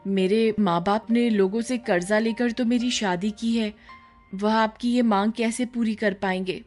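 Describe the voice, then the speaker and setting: A woman's voice: on the high side, fairly even intonation, a little slow, a little mellow, soft background music, natural voice. A woman playing a young, submissive village daughter-in-law in a cartoon story, speaking politely and pleadingly. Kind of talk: Hindi animated horror story acting.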